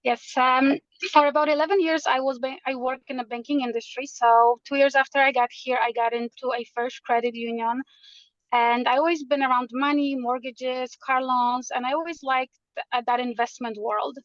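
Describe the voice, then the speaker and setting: A woman's voice: sits high, fairly even intonation, moderate pace, no room sound, highs cut off. A woman from Poland, a realtor earnestly explaining her background and the market as a guest in an interview. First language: Polish. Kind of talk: interview answers.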